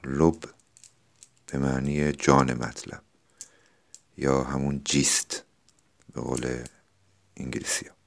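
An extremely deep male voice. He speaks as a podcast host presenting classical poetry, moving between recitation and informal explanation. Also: level tone, gravelly, pauses often.

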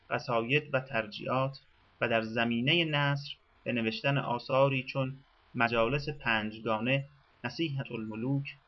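A man reads documentary narration in a measured, formal manner. His voice sits at a middle pitch. The sound is somewhat dull and lo-fi, like an older radio program.

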